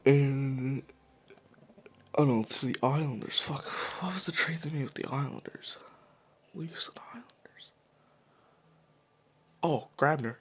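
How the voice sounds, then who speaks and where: A man giving casual, profane solo commentary while slowly losing his voice, stumbling over names as he goes. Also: medium pitch, breathy edge. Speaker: hockey video commentator.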